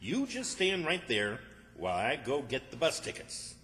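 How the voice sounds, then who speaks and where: A man's voice: medium pitch, wildly swooping pitch, moderate pace, bright, forceful tone. A man acting a bossy, exasperated country fellow, scolding and commanding in an old-time radio comedy. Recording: hall reverb, distant stage sound.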